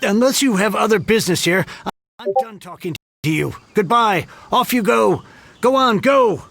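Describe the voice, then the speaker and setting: An actor playing a grumpy, melodramatic middle-aged book collector in an anime game, with a theatrical, exasperated delivery. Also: male voice, sits high, lively intonation, moderate pace, creaky, fairly bright tone, dry recording, studio quality, exaggerated voice. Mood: dismissive.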